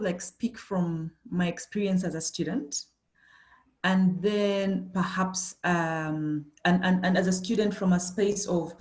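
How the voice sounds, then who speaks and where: Hesitant woman panelist, low-pitched. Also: a little mellow. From Uganda. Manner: thoughtful, hesitant, with many fillers and restarts. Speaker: panelist, company managing director. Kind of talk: webinar panel presentation.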